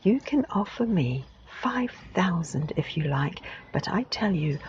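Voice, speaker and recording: A woman's voice: fairly low pitch, animated, highs cut off, a little hiss or hum. A woman whispering the lines of a firm, determined middle-aged farmer in a storybook reading. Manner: whispered, measured.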